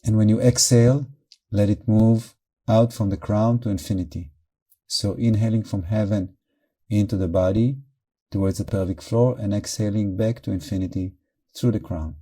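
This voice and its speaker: Very mellow, soft-edged male meditation guide. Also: lowish voice. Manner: calm, measured, short phrases with pauses. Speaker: meditation teacher.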